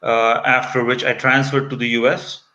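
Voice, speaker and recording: A man in his fifties from Kuwait talks as an interview guest in a bright, forceful voice. He recounts his experiences conversationally. The sound is a slightly dull video call. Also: medium pitch, little pitch movement, normal speed. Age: 50s. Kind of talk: conversational personal storytelling.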